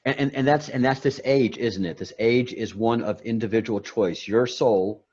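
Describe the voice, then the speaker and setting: A man's voice: mid-range voice, normal speed, darkish tone, lo-fi sound. A man talking casually and at length on a meditation call, rambling with many restarts and repeated words. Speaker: guest on a meditation talk. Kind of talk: casual spiritual chat.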